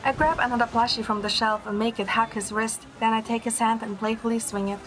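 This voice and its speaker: A woman playing a gentle young heroine, narrating her romance in the first person as scripted audio drama. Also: fairly high pitch, level tone, a little fast, natural voice.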